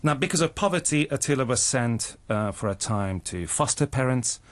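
A man's voice: mid-range voice, lively intonation, moderate pace, dry recording. A man in his thirties from Hungary with a Hungarian accent, speaking earnestly and carefully as he tells personal stories as an interview guest. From Budapest, Hungary. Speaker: interview guest, actor and playwright. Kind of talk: personal storytelling in a radio interview.

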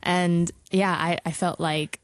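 A woman's voice: on the low side, level tone, slow pace, no echo. Giggly young woman singer, dry mic. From Hong Kong. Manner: chatty, giggly, lots of likes and ums. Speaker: singer and guest. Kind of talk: casual interview chat.

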